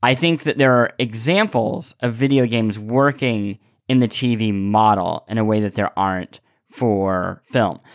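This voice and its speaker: A man's voice: on the low side, a little slow, dry recording, clean studio sound. A man co-hosting a podcast, talking casually and analytically, often repeating words as he forms his argument. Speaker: podcast host. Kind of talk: casual opinionated discussion.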